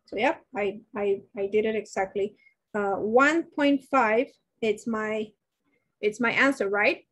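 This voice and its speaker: A woman's voice: mid-range voice, unhurried, fairly bright tone. A woman nursing instructor working through a dosage problem in a live online lecture, restarting sentences and asking her class questions. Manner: thinks aloud with many restarts, asks class questions. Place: indoors, webcam beside shared slides.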